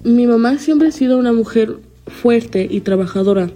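A woman's voice: a little high, normal speed, warm tone, faint music behind. A teenage girl from Chicago, a student, earnestly reading her own personal statement. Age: teens. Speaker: student protester.

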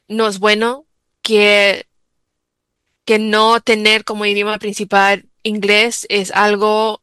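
Bright, crisp-voiced bilingual woman guest. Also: medium pitch, no echo. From United States. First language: English. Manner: reflective, many fillers and restarts. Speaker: interview guest, bilingual mother. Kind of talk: interview answers.

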